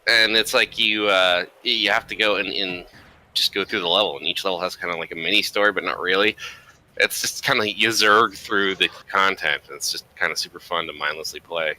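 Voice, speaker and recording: A man's voice: deep voice, fairly even intonation, a little fast, bright, forceful tone, a little hiss or hum, low-quality recording. A man who belongs to a gaming group, chatting casually with friends about games.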